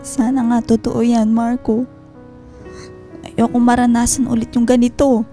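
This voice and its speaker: Weary, wounded wife and mother for radio drama female actor, earnest and emotional, slightly high mellow voice over music. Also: flat delivery, measured pace, close to an everyday voice. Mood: hurt, reproachful. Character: hurt, weary wife and mother back from working abroad. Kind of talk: Filipino radio drama acting. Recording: music bed under the voice.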